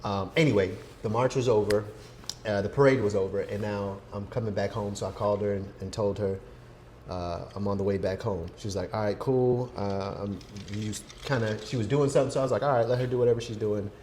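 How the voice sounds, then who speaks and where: A man's voice: on the low side, fairly even intonation, fairly quick, a little mellow. An American man in his forties, casually telling personal stories as a podcast co-host in a conversational, teasing way. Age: 40s. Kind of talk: casual chat, personal storytelling. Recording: clear background noise, room ambience.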